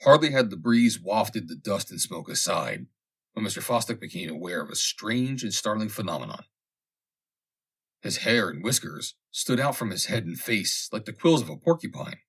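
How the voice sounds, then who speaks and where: Husky low-voiced man reading a story expressively. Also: animated, moderate pace. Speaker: audiobook reader. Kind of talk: scripted narration of a vintage humorous story.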